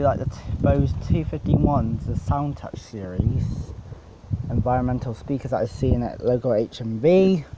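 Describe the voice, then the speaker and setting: A man with a fairly high voice talks through his DJ setup in a casual, unscripted way. He speaks slowly and haltingly, rambling from one point to the next in blunt, informal language. There is some light background noise with clicking. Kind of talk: casual unscripted gear walkthrough.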